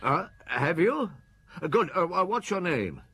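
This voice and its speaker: An actor playing a patient, thoughtful middle-aged police chief in a radio drama, musing and probing with hesitant questions. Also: male voice, high-pitched, very animated, a little slow, a bit bright, a little hiss or hum, exaggerated voice. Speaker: detective chief.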